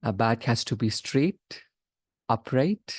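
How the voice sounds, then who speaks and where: A man leading a guided meditation as a teacher, giving measured instructions in short phrases with pauses between them. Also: mid-range voice, lively intonation, dry recording, studio quality.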